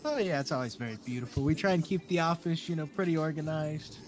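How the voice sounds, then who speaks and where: A man's voice: fairly high pitch, quick pace, soft background music, lightly acted. A man playing an agreeable, casual cannabis shop worker in an improvised crime game roleplay. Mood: friendly.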